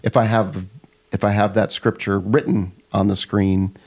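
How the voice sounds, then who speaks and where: Low gravelly man, native German speaker. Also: moderate pace. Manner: relaxed, agreeable, with many short affirmations. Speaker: podcast co-host. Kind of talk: casual podcast chat.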